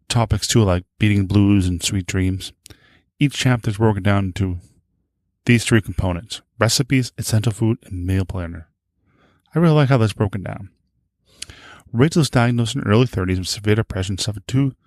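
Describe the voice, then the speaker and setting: A man's voice: fairly low pitch, darkish tone, no room sound, clean studio sound. A man hosting a podcast in a casual, informal way, often rambling and rephrasing himself. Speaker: podcast host. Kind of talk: casual podcast introduction and interviewing.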